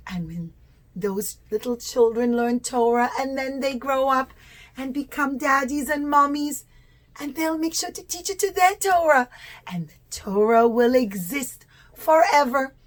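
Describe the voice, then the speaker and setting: A woman telling a religious story to young children in expressive, simple, teacherly phrases with stretched words. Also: high-pitched, a little slow.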